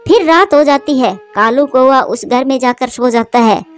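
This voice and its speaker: A woman reads a scripted children's cartoon story as its narrator. Her voice is high, with faint music underneath. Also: normal speed.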